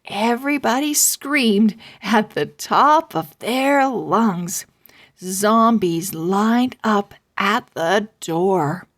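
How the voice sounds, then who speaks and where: A woman reading a children's book aloud as host, with deliberate, theatrical, expressive narration. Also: medium pitch.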